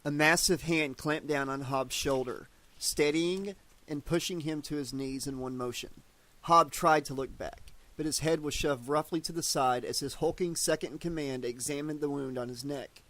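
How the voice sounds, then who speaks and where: A man narrating an audiobook with a steady storytelling delivery. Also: on the high side, moderate pace. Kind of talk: scripted narration.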